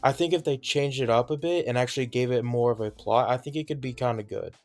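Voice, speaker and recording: A man with a gravelly, fry-heavy voice chats on a gaming podcast. He rambles hesitantly and uses lots of fillers. Faint music sits in the background. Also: medium pitch, fast, a little mellow. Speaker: podcast co-host. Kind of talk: casual podcast chat.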